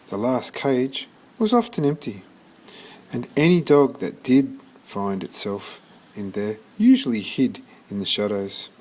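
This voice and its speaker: A man reading a children's picture book aloud as scripted narration, expressively and with deliberate pauses. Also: medium pitch, lively intonation, darkish tone, rough recording. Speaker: storybook reader.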